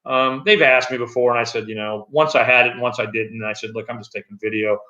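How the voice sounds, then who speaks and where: A middle-aged man with a loud voice, chatting loosely on a live stream from an echoey room at home, with many fillers and restarts. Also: mid-range voice, fairly even intonation, fast talker, muffled recording. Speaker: channel host. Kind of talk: casual live-stream chat.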